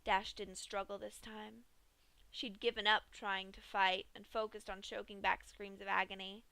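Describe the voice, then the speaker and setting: A woman reading a dark fanfic aloud in a steady storytelling manner. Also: medium pitch, moderate pace, vocal fry, dry recording, rough recording. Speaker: fanfiction reader.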